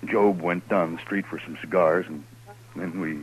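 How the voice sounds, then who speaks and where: Deep, troubled American Old West man. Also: fairly even intonation, husky. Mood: haunted, confessing. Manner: halting, defensive, earnest. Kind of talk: 1950s radio western acting. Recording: faintly noisy old radio recording.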